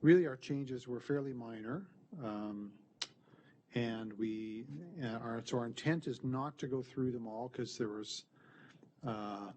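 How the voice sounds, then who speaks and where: A man's voice: mid-range voice, unhurried, mellow, a little hiss or hum. A man presenting an update at a committee meeting, explaining the process in an easygoing way. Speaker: committee member presenting a plan review. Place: board meeting room.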